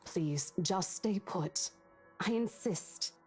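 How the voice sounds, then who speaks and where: An actress playing a concerned young woman colleague in a visual novel, earnest and coaxing, gentle but firm. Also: mid-range voice, expressive, slow pace, breathy edge, soft background music. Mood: insistent. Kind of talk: dramatic game voice acting.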